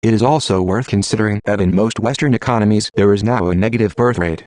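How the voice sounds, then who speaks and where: A man's voice playing a calm, well-read AI assistant, measured, formal and impartial in scripted dialogue. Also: fairly low pitch, no room sound, studio quality, close to an everyday voice. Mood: measured, informative.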